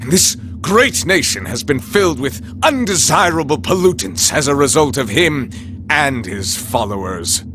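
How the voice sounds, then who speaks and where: A man's voice: mid-range voice, sing-song, over music, lightly acted. An actor playing a haughty, contemptuous nobleman villain in a video game, speaking with grandiose pomp. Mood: grandiose, disdainful. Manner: pompous, grandiose, slow oratory. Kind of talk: dramatic video game cutscene acting.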